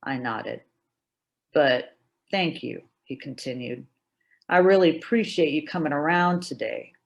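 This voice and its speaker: A woman's voice: sits low, unhurried, gravelly, highs cut off. Woman reading a personal essay dramatically. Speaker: writer reading own work.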